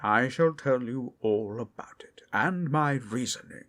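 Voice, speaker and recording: A man voicing a mysterious male human wizard who speaks theatrically, dropping to hushed tones. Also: medium pitch, close to an everyday voice. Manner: theatrical, slow and hushed at times.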